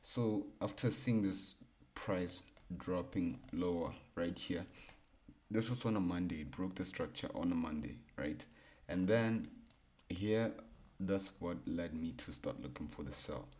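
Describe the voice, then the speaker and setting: Deep-voiced laid-back man. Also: measured pace, a little mellow. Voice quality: baritone. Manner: laid-back, repeats himself, thinks aloud. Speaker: forex trading educator. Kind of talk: casual screen-share chart breakdown.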